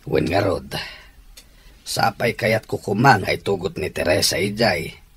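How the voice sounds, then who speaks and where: A man playing a middle-aged father in a radio drama, a friendly family man who reasons gently in a conversational way. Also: on the low side, unhurried, slightly rough, full character voice. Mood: resigned, patient. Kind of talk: Ilocano radio drama acting.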